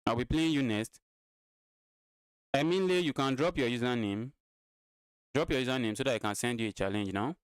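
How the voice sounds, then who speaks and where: Smooth-voiced man in his twenties, repeating himself. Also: medium pitch, moderate pace, long pauses, no room sound. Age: 20s. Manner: talks to viewers, repeats his phrases. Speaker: chess streamer. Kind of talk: casual live-stream chess commentary.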